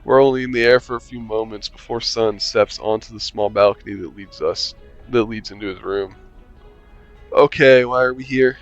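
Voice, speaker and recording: A man's voice: on the low side, steady tone, normal speed, muffled recording, soft background music. A man reading a visual novel aloud for a let's-play, chuckling and adding wry asides. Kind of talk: casual let's-play narration.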